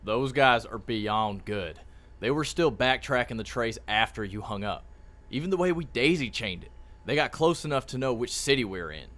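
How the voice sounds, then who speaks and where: An actor playing an earnest tech expert in a crew for a radio drama, casual and frank. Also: male voice, mid-range voice, lively intonation, normal speed, fairly bright tone, close to an everyday voice. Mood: matter-of-fact.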